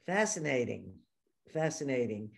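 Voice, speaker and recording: A woman's voice: rumbling low, sing-song, measured pace, vocal fry. A woman with a New York accent hosting a podcast, telling stories in a chatty way and laughing often. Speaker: podcast host. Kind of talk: casual interview conversation.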